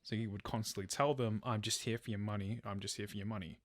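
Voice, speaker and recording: A man's voice: on the low side, steady tone, quick pace, no room sound, studio quality. A man telling a true crime story as a podcast host, partly from a script. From Australia.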